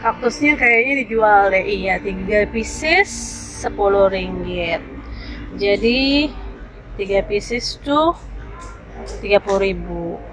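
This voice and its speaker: A woman's voice: medium pitch, animated, slow pace, pauses often, rough recording. Chatty woman vlogging over music. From Indonesia. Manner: chatty, mixes in English phrases. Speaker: food vlogger. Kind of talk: casual vlog narration. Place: cafe and grocery shop, indoors. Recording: music playing behind the voice.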